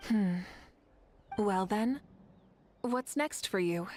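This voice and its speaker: Game heroine: self-assured female warrior, slow measured delivery, faint music underneath. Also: mid-range voice, lively intonation, a little mellow. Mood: amused, calm. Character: composed, confident warrior heroine, adult woman. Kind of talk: anime fantasy game voice acting.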